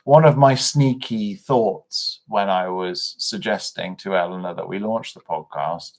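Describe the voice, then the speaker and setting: A man's voice: sits low, measured pace. An English man co-hosting a podcast livestream, chatting casually and reflectively, often laughing. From England. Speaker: podcast co-host.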